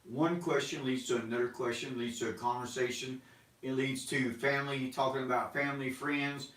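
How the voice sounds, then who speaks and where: A man in his forties hosting a casual, energetic sales talk to camera, rambling with restarts. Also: mid-range voice, normal speed, roomy sound, recorded from a distance, poor audio. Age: 40s. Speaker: host. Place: small studio room with banners and props.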